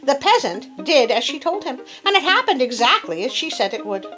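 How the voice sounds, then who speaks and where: A woman hosting a story channel, expressive and chatty as she reads tales and talks to her viewers. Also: high-pitched, fairly quick. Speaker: host and storyteller. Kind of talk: storybook reading with casual commentary to viewers. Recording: music playing behind the voice. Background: instrumental classical music.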